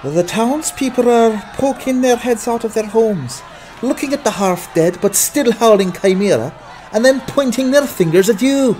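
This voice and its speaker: A man voicing a brash, wry sidekick in a fantasy audiobook, speaking in an animated, urgent way. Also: very high-pitched, normal speed. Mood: wry warning. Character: brash, cheeky male sidekick urging on a young adventurer. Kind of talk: dramatized fantasy audiobook acting.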